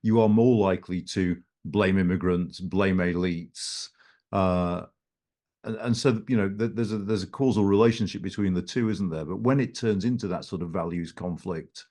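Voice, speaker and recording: A man's voice: low voice, normal speed, dark tone. A man moderating a webinar over a video call, pressing panelists with probing follow-up questions.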